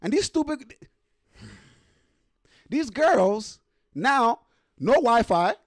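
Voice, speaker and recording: A high-voiced man from Nigeria reacts as a show host in a casual, exasperated rant. His pitch swings widely, and he leans on slang and swearing. The sound is close and dry. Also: very animated, extremely slow, no echo. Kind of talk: casual ranting reaction commentary.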